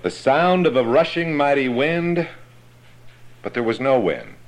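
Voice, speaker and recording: A man teaching a Bible class in a sermon style, explaining slowly and checking that his listeners understand. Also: mid-range voice, big pitch swings.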